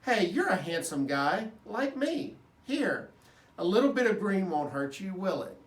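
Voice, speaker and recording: A man voicing a jovial, chatty male night janitor from a children's storybook, booming and folksy. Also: big pitch swings, unhurried. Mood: friendly. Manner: hearty, folksy, playful. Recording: roomy echo, distant microphone.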